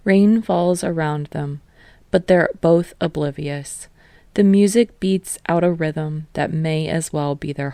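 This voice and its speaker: Woman, fan fiction audiobook narrator, steady storytelling, gravelly, dry close recording. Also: low-pitched, no echo. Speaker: podfic reader.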